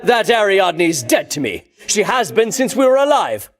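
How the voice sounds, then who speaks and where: Casting: a boastful ancient Greek champion king in a game, high ringing voice, theatrical pomp, wildly swooping intonation. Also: big pitch swings, moderate pace, faint music behind, heavily acted. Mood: indignant. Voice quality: ringing, declamatory. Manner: pompous, archaic grandiose diction. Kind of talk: theatrical video game voice acting.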